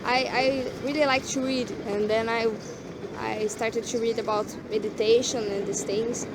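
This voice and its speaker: Young Brazilian woman, native Portuguese, earnest and upbeat, secretly recorded on a loud street. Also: on the high side, fairly even intonation. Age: young adult. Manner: earnest, simple halting English. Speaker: Hare Krishna devotee. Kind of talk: casual street conversation. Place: busy city street outdoors. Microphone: hidden recorder. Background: loud traffic.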